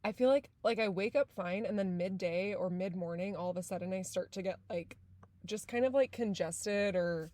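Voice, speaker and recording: A woman's voice: mid-range voice, moderate pace, a little mellow, dry recording. A woman from San Diego hosting a podcast, talking casually with lots of fillers, a little nasal and congested. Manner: chatty, many fillers like "like". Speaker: podcast host. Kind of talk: casual podcast intro and chat.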